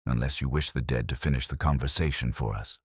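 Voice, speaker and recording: Storybook detective, acted by a male narrator: cool, commanding, clipped and intent, extremely low register, husky edge. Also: fast talker, dry recording, clean studio sound. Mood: terse, commanding.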